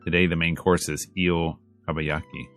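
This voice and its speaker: A man with a low voice reads story narration and dialogue aloud as a streamer would. His delivery is casual and slangy, like someone playing through a visual novel, with music playing faintly underneath. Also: little pitch movement, moderate pace, fairly bright tone. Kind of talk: casual let's play read-aloud.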